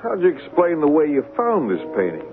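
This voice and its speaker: An actor playing a skeptical, streetwise criminal associate in a 1970s radio drama, slangy and questioning. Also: male voice, on the high side, wildly swooping pitch, a little fast. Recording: phone-like old radio, music behind.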